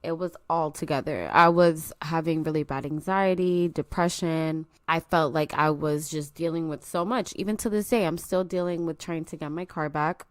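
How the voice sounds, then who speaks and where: A woman's voice: low voice, steady tone, normal speed, hardly any pauses, no echo, clean studio sound. A young woman hosting her own podcast, talking earnestly and openly to her listeners, a little stuffy from allergies. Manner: earnest, confiding, speaks straight to listeners. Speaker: podcast host. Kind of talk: personal solo podcast talk. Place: at home.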